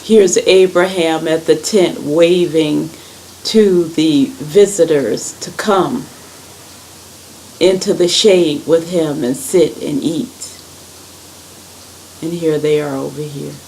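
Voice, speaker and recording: A woman reading a children's story aloud in an expressive, deliberate way. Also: sits low, slight background noise, low-quality recording.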